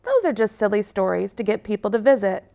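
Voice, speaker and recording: A woman voicing a matter-of-fact, dismissive male husky in a children's story. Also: mid-range voice, very animated, fairly quick, dark tone, dry recording, studio quality. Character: know-it-all male husky brother.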